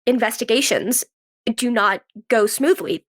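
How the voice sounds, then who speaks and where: A woman's voice: fairly high pitch, a little slow, a bit bright. A woman speaking casually as an interview guest, in a conversational way peppered with "like". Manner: conversational, frequent "like". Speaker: guest, actress and writer.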